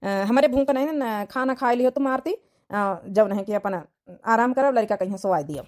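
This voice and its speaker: Cartoon widowed father played by a woman: reluctant and sorrowful, highish voice, Awadhi accent, plaintive delivery. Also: normal speed, darkish tone, close to an everyday voice. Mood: subdued. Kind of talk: dramatic cartoon dialogue in dialect.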